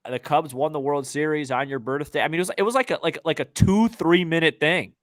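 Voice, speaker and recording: A man's voice: on the high side, brisk, no echo, clean studio sound. A man co-hosting a sports podcast in casual talk, repeating words and often checking that the listener follows. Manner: repeats words, checks in with "you know what I'm saying".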